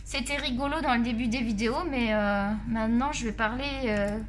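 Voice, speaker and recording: A woman's voice: fairly high pitch, fairly even intonation, fairly quick, slight background noise. A woman with a Marseille accent chatting casually and jokingly to her viewers while she tests colored pencils at her desk. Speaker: art vlogger reviewing colored pencils. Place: at a desk at home, face off camera.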